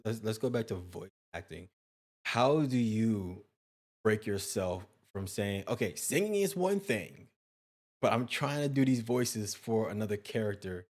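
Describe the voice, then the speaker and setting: A man's voice: lowish voice, expressive, unhurried, darkish tone. A man hosting an interview, asking long, rambling questions in a self-deprecating way. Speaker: interview host. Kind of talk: casual interview chat.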